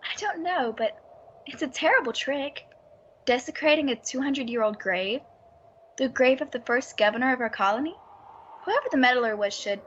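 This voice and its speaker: A woman's voice: high voice, animated, normal speed, a bit bright. A woman playing an anxious townswoman in an old-time radio drama. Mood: indignant. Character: anxious townswoman on a night walk. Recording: dull lo-fi audio, background ambience noise.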